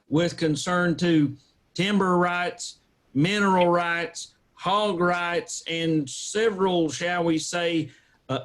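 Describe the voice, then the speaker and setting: A man playing an evasive, pompous small-town lawyer in a comic radio drama, talking in wordy legal phrases. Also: on the high side. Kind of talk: comic old-time radio drama acting.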